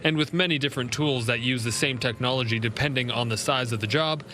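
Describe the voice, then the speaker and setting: A man's voice: mid-range voice, fast talker, sharp tone, a little hiss or hum. A man with a Canadian accent narrating a news report as a field reporter, polished and scripted.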